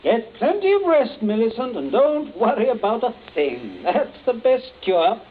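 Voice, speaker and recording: An actor playing an outwardly genial, controlling English family patriarch in a 1940s radio drama, genteel and falsely hearty. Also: male voice, very high-pitched, very animated, a little slow, heavily acted. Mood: smoothly genial. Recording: muffled, noisy 1940s radio recording.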